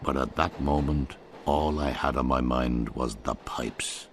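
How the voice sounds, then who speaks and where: A man's voice: extremely low pitch, a little slow, a little hiss or hum. A man narrating a radio drama in a wry, storytelling manner.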